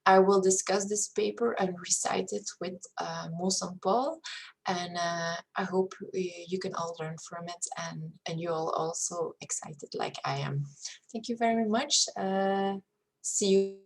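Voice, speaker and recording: A woman from Belgium with a Flemish accent, whose first language is Flemish, reading a spiritual paper aloud in a careful, steady way. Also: lowish voice, unhurried, vocal fry. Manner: careful, steady reading with hesitant fillers when speaking freely. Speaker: reader of a paper. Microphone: screen-share recording.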